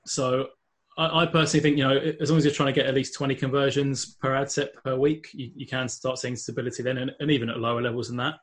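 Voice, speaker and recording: A man's voice: mid-range voice, steady tone, fast. A man with a London accent, a guest on a podcast, talking casually with many fillers and restarts. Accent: London English. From England. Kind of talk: casual interview talk.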